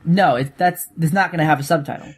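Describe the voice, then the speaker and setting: A man co-hosting a podcast, speaking casually in a hesitant, halting way. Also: fairly high pitch, a little hiss or hum. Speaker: podcast co-host. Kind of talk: casual chat.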